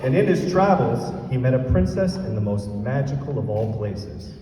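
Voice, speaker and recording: A man delivers a playful, scripted storybook-style speech at a wedding. His voice has a middling pitch and comes from far off in a very reverberant hall, with clear noise over the recording. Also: very animated, normal speed, dark tone. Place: large reverberant event room at a wedding. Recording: very echoey, noisy hall from far off.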